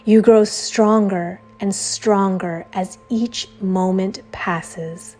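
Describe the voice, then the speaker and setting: A woman narrating a scripted guided meditation in a measured, soothing, instructional way. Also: medium pitch, steady tone. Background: soft background music.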